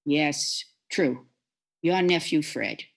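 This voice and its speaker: A woman voicing a calm, questioning spirit in an amateur radio play read over a video call. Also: deep voice, very slow, gravelly, background noise, full character voice. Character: gentle questioning spirit, female.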